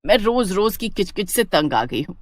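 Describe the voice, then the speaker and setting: A woman's voice: medium pitch, very animated, moderate pace, no room sound, natural voice. A woman voicing a stern, fed-up elderly mother-in-law with a weary, authoritative manner in a family story.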